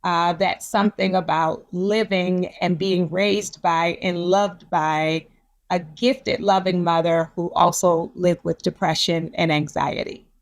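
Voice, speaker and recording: Woman psychologist, interview guest, lower register, thoughtful and reflective. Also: steady tone, slow pace. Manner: reflective personal storytelling. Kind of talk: conversational interview.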